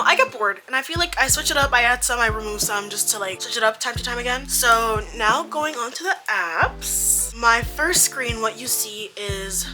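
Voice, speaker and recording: A young woman whose first language is French, talking casually through a phone tour with lots of likes and fillers. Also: a little high. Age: young adult. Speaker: YouTuber.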